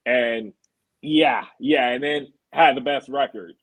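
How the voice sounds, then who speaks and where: A man, a sports anchor and guest, chatting in a relaxed, slangy way over earbuds. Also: mid-range voice, slow pace, no room sound. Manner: relaxed and slangy, many fillers. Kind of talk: casual sports talk. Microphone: earbuds on a call.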